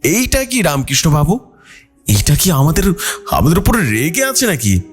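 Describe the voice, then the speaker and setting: A man's voice: fairly high pitch, huge pitch swings, normal speed, breathy edge, voice pushed up, exaggerated voice. A man voicing a frightened, bewildered village father who asks anxious questions in a horror story. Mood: fearful, bewildered. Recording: background music under the voice.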